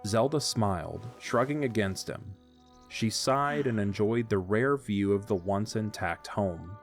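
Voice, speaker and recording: Low-voiced male storyteller over soft music. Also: unhurried. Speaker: narrator. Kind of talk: scripted audio drama narration.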